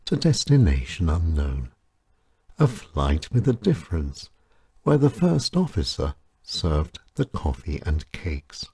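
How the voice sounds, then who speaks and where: A man with a deep, slightly husky voice tells his own short stories for radio. He takes his time over each phrase, and his pitch rises and falls widely as he reads. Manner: measured, expressive delivery with wide swings in pitch. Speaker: storyteller and radio show presenter. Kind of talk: scripted short-story reading.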